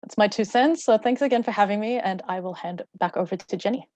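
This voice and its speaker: Brisk woman giving a rehearsed talk. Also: medium pitch, a little mellow. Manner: fluent, rehearsed. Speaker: webinar speaker. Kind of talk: prepared talk.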